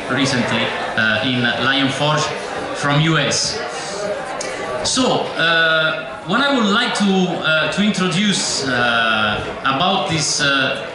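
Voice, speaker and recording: A middle-aged man from Italy with an Italian accent, moderating a panel and introducing it slowly with many hesitations, on stage in a large hall. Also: medium pitch. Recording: echoey hall from far off with crowd.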